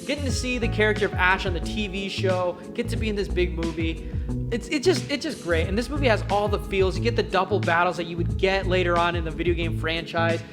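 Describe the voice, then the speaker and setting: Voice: mid-range voice, fairly quick. An enthusiastic, chatty video host giving casual, emphatic film commentary in a countdown format. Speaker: YouTube host reviewing films. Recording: music playing behind the voice. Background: background music.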